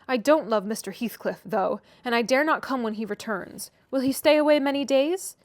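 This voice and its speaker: A woman reading aloud and voicing an impulsive, warm-hearted teenage girl who speaks earnestly and pertly. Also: on the high side, moderate pace, dry recording, close to an everyday voice. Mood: affectionate. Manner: earnest, pert.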